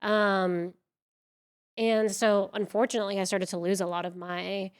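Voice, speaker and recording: A woman from Venezuela whose first language is Spanish, telling her story as an interview guest. Her voice is smooth and clean, and her delivery is chatty, loose and full of fillers, with self-deprecating asides. She sits at a middle pitch for a woman. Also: level tone, unhurried. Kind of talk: casual interview talk.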